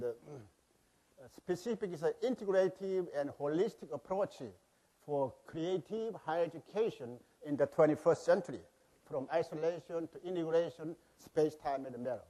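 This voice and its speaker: A man's voice: on the high side, warm tone, low-quality recording. A man with a Korean accent giving a conference presentation, a little nervous and hurrying through his points. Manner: says he is nervous, rushes through. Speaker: conference speaker, university president. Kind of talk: presentation talk. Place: large conference hall.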